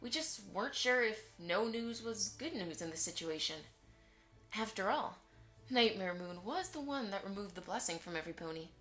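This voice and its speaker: A woman's voice: medium pitch, animated, moderate pace, a bit bright, natural voice. Woman acting a polite pony mother over music. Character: polite, chatty pony mother, soothing peacemaker. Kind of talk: audio drama dialogue.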